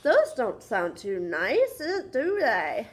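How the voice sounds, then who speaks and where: Female children's read-aloud host: high voice, lively swoops, unhurried, sing-song, interactive questioning.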